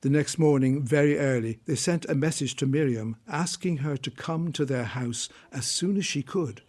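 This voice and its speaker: A man's voice: fairly high pitch, moderate pace, a little mellow. A man narrating a biblical radio drama in a scripted storytelling style.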